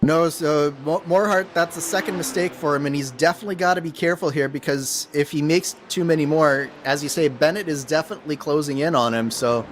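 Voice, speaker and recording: A man's voice: fairly high pitch, a little fast, few pauses. Guy co-commenting sim racing, kinda hesitant, breaks down car handling, light hiss. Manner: hesitant, thoughtful, many restarts. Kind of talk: live race commentary chat.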